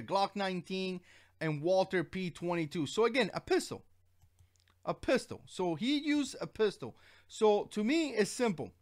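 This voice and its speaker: A man born in Cuba, hosting his own livestream and giving his opinions in an earnest, rambling way, with many fillers and restarts. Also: high voice. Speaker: channel host. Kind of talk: casual livestream commentary.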